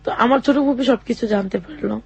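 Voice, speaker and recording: A young woman telling her own life story in an interview, speaking haltingly with many fillers and restarts. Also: a little high, vocal fry, darkish tone, husky, no room sound. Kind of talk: personal testimony in a radio interview.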